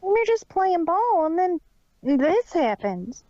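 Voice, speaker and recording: A woman's voice: very high voice, very animated, slow, warm tone, lo-fi sound, heavily acted. A woman voicing a guilt-ridden little girl pony in a fan fiction audio story. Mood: guilty, worried.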